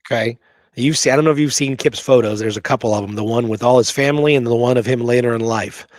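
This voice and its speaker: A man's voice: medium pitch, quick pace, no room sound, clean studio sound. A man co-hosting a history talk show, reading out quoted passages and adding informal asides of his own. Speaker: podcast co-host.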